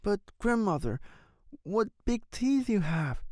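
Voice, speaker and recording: Man acting innocent little storybook girl, high falsetto, earnest, sweet. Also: sing-song, slow pace, a little mellow, no echo, studio quality, exaggerated voice. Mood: puzzled.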